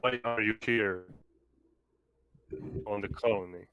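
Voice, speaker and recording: A man improvising questions in character during a roleplaying game, halting as he searches for his words. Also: lowish voice, steady tone, sharp tone. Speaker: tabletop roleplaying player.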